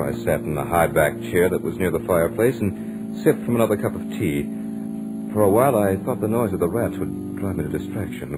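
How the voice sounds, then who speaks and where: A man playing a scholarly gentleman lodger, narrating a haunted-house ordeal with dramatic, deliberate emphasis in 1940s radio style. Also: deep voice, low-quality recording. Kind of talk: 1940s radio horror acting, first-person narration. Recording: vintage 1940s radio recording, music behind.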